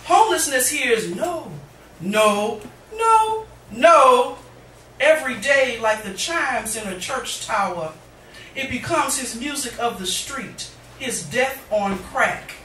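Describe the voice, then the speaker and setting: Slow, echoey woman reading poetry. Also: a little high, animated, a bit bright, far from the mic. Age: middle-aged. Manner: deliberate, dramatic phrasing with pauses. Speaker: poet, featured reader. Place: indoor cafe reading. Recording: noisy, echoey room recorded from afar.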